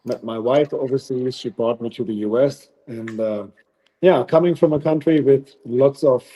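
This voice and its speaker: Man, German accent, mellow dark tone, pauses often, casual guest talk. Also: medium pitch, rough recording. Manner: thoughtful, many pauses and fillers. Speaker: dog training facility owner.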